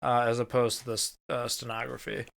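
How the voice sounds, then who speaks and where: A man's voice: medium pitch, little pitch movement, fairly quick, creaky, a bit bright. A man co-hosting a podcast, chatting casually and informally, sometimes swearing. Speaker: podcast co-host. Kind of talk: casual chat.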